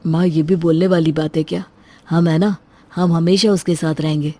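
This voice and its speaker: A woman voicing a loyal adult son and protective brother in a family story, calm and matter-of-fact. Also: low-pitched, normal speed. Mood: reassuring.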